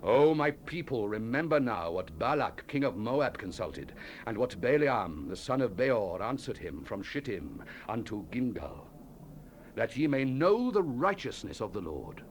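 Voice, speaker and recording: An actor playing a solemn biblical prophet in a dramatized scripture recording, declaiming judgment and lament. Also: male voice, mid-range voice, very animated, a little slow. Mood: solemn, pleading. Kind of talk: dramatized scripture reading, 1970s audio drama. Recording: hissy 1970s cassette tape.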